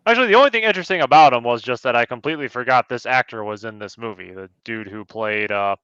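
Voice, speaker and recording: A man's voice: mid-range voice, expressive, fast, a bit bright, smooth, no echo, lo-fi sound. A man co-hosting a podcast, giving a casual, conversational film review. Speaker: podcast co-host.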